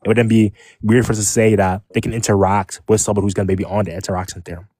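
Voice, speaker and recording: A man in a casual online voice-chat debate, arguing rapidly in jargon and talking over interruptions. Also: lowish voice, level tone, dark tone, no room sound.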